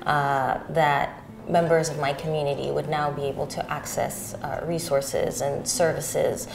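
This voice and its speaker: A woman giving an interview about community work, pausing often with fillers. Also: low-pitched, flat delivery, vocal fry, background noise. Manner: hesitant, many fillers. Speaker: community member. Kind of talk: interview testimony.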